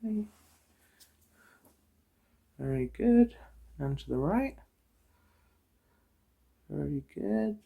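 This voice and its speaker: Soft, creaky-voiced slow ASMR murmur. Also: mid-range voice, animated. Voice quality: soft, breathy, drifting into whisper. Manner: hesitant, murmuring, unhurried, reassuring. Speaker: ASMR performer playing an optician giving an eye exam. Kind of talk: ASMR roleplay, soft-spoken and whispered.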